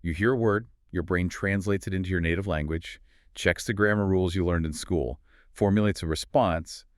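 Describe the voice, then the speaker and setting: Man co-hosting a podcast, very low voice, calm and explanatory. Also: moderate pace, no room sound, studio quality. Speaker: podcast co-host. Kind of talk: scripted podcast conversation.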